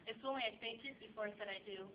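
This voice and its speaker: Young woman playing flighty girlish divorcee, high-pitched. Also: fast, echoey room, natural voice. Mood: chatty, dramatic. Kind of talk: comic stage monologue. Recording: dull, noisy amateur recording with low rumble.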